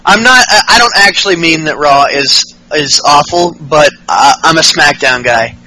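A man's voice: on the high side, moderate pace, bright, forceful tone, a little hiss or hum, poor audio. A man in his late twenties co-hosting a podcast, chatting casually about wrestling, profane and rambling with many restarts. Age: 20s. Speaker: podcast co-host.

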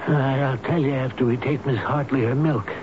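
An actor playing a gentle, loyal old elevator operator in a radio drama, speaking in a halting, earnest, folksy and tender way. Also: male voice, mid-range voice, steady tone, close to an everyday voice. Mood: tender, confiding. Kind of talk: 1970s radio drama acting. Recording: noisy muffled old radio broadcast recording.

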